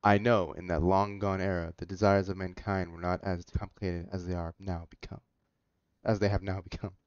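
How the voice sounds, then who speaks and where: A man's voice: deep voice, level tone, normal speed, clean tone, dry recording. A man giving casual game commentary and reading dialogue aloud, on a dull-sounding close mic with faint music. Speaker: gamer commentating a playthrough.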